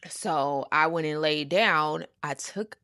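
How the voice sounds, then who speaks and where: A woman hosting a casual storytime, with a lowish, gravelly voice recorded close and dry. She is sassy and emphatic, repeating words to drive a point home and talking in loose slang. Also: slow pace, pushed and bright, no room sound. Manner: uses slang and swearing. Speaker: storytime podcast host.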